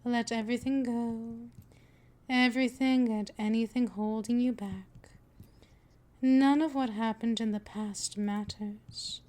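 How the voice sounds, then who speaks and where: Woman playing a slow-spoken, soothing vampire mistress. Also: fairly high pitch, steady tone, a little mellow. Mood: patient, coaxing. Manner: slow, coaxing, soothing, often drifting into whispers. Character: patient, possessive female vampire mistress, soothing and dominant. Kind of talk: scripted ASMR roleplay, hypnotic monologue.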